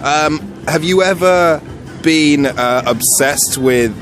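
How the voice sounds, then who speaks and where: A man's voice: on the high side, slow pace. A man interviewing people on the street in a casual, agreeable way, often answering with short affirmations. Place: busy street outdoors. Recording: outdoor street with music.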